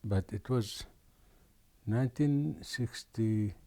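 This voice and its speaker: Slow, mellow older man recalling his past. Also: lowish voice. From Aden, Yemen. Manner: slow, reflective, with many restarts and pauses. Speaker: interviewee. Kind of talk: oral history interview.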